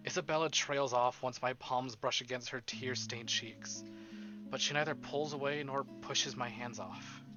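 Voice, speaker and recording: A man's voice: medium pitch, steady tone, lightly acted. Tender, solemn romantic game narrator, read by a man, over music. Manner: soft, measured, solemn. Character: tender, earnest romantic narrator of visual novel. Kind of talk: dramatic first-person visual novel reading. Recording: dull lo-fi stream audio under music.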